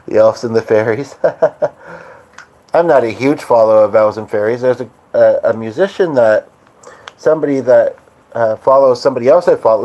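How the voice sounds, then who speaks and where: A man's voice: medium pitch, mellow. Rambling man on a dull lo-fi stream. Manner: meandering, halting, many fillers and restarts. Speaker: podcast host. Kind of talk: rambling live-stream chat.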